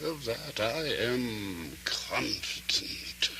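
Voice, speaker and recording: Cunning, slow-spoken menacing sheriff. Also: male voice, mid-range voice, expressive, creaky, heavily acted. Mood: smug, courteous. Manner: formal, taunting, theatrical delivery. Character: cunning, menacing sheriff, silky false courtesy to his captive. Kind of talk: radio drama acting. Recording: noisy vintage 1970s-80s radio recording.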